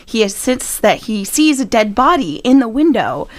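A woman communications director giving brisk, promotional answers in a radio interview, laughing easily. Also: a little high, very animated, dry recording.

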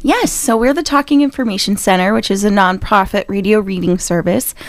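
A woman communications director giving brisk, promotional answers in a radio interview, laughing easily. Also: medium pitch, no room sound.

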